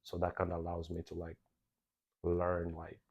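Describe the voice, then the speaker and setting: A young man from Belgium speaks in a very low voice, telling stories casually and putting on quoted lines as he goes. The recording is slightly dull and lo-fi. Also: moderate pace, a little hiss or hum. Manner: animated, acts out quoted exchanges. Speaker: podcast guest, nightlife VIP host. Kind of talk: casual interview storytelling.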